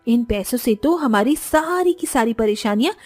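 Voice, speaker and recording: A woman performs a nagging, money-hungry village wife from a moral tale. Her high voice is mellow and a bit rough, scolding and exclaiming. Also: animated, moderate pace, soft background music. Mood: scolding, greedy.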